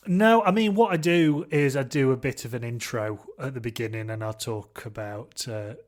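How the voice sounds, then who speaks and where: A man's voice: medium pitch, normal speed. A man from England hosting a podcast, chatty and rambling, often laughing. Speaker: podcast host. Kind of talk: casual podcast conversation.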